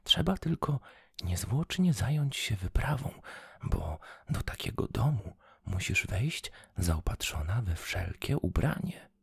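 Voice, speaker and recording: Guy doing a nagging field mouse, breathy whisper, dry close sound. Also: lowish voice, very animated, takes it slowly, dry recording, voice pushed up, exaggerated voice. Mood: coaxing. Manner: whispered, bossy. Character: scolding old female field mouse.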